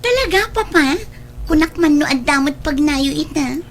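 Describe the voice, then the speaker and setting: An actress with an Ilocano accent playing a pampered, scheming young daughter who talks in a chummy, stubborn way in a radio drama. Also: female voice, high-pitched, expressive, moderate pace, slight background noise. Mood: coaxing. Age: young adult.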